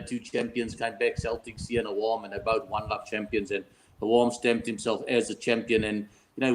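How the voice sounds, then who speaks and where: A man's voice: medium pitch, slight background noise, rough recording. A South African man chatting casually as a betting guest, bantering and often ending on tag questions. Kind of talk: casual chat.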